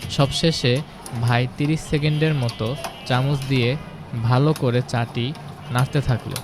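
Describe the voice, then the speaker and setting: A man's voice: mid-range voice, fairly even intonation, a little slow, background noise. A man reading a scripted, story-like voice-over for a home cooking video. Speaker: home cooking channel narrator.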